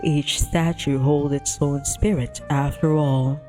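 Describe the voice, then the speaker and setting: A woman voicing a gentle, reassuring male guardian tiger spirit in a visual novel, soft-spoken and earnest. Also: sits low, steady tone, slow, soft background music, pitched below the performer's own voice. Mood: earnest, explaining.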